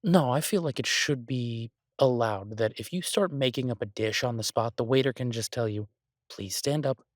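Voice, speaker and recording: An exasperated restaurant chef played by a man grumbles to his partner in a boyfriend audio roleplay. His mid-pitched voice is halting and conversational, recorded dry with no room sound. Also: no room sound, close to an everyday voice. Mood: exasperated, ranting.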